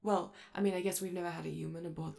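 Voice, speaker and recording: A woman playing a friendly, awkward young male robot in a soft ASMR roleplay, with a creaky voice and a hesitant, rambling, apologetic delivery. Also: fairly low pitch, steady tone, very fast. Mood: nervous, reassuring.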